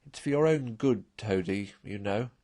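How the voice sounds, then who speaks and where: A storybook water rat, doubtful yet kindly, acted by a man. He chides his friends gently and dryly, in a close recording with little echo. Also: fairly low pitch, moderate pace. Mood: kindly, chiding. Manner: dry, doubtful, gently chiding.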